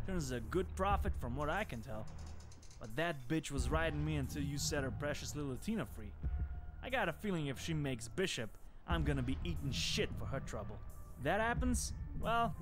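Streetwise vampire tough guy acted by a man, animated, slightly high pitch, slangy, cynical, video game, music behind. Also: natural voice. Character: streetwise cynical male vampire gangster, wary, foul-mouthed. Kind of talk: scripted video game dialogue.

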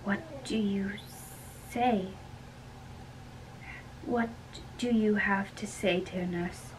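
A woman's voice: mid-range voice, drawn-out words, a little hiss or hum, voice pushed down, rough recording. A woman acting an earnest, lovesick young man in an amateur dramatic reading of a classic play. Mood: sincere. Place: small bedroom.

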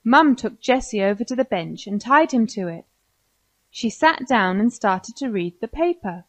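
A woman's voice: a little high, lively intonation, normal speed, no room sound. A woman with an American accent narrating a scripted children's story.

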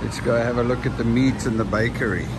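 A man's voice: mid-range voice, brisk. A South African man vlogging casually as he walks through a large supermarket, musing aloud with frequent ums. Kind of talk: casual walking vlog. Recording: loud store hum behind voice.

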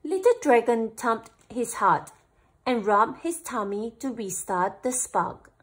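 A woman storyteller reading a picture book aloud for children, with animated, deliberate phrasing. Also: a little high, slightly rough.

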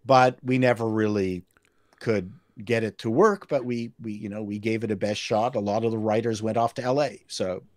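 An older man from Canada, a guest telling stories with lively, acted-out quotes on a video call from a home room. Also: lowish voice, moderate pace, dry recording, studio quality. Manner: animated storyteller who acts out quotes. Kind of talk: casual anecdote telling. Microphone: desk mic on a video call.

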